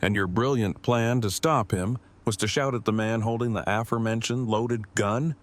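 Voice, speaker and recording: A man's voice: fairly low pitch, steady tone, moderate pace, lightly acted. An actor playing an earnest male survivor who talks in stilted, wordy, formal phrases in an audio drama. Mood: upset, earnest.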